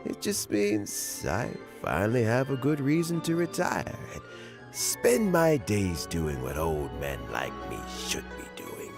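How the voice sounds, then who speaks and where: An actor playing a kindly older man close to retirement, gentle and reminiscing, chuckling often. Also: fairly low pitch, wildly swooping pitch, unhurried, music in the background, exaggerated voice. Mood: warm. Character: kindly old man near retirement, fond of fishing. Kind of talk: game voice acting.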